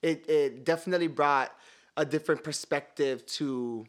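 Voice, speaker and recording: A man's voice: a little high, moderate pace. Laid-back man with a Hawaiian Pidgin accent. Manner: laughs often, relaxed and joking. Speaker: podcast co-host. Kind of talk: casual chat.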